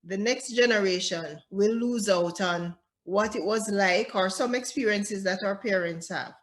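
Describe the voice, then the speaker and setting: A woman's voice: mid-range voice, unhurried. A woman hosting a video call interview, asking questions and giving short affirmations. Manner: brief affirmations and prompting questions. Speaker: interview host.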